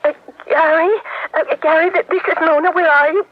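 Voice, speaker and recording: A woman's voice: very high-pitched, fairly even intonation, normal speed. An actress playing a scatterbrained, hysterical claustrophobic woman in a radio drama, stammering and repeating herself in fright. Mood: pleading, panicky. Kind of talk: old-time radio drama acting. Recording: muffled vintage radio, telephone voice.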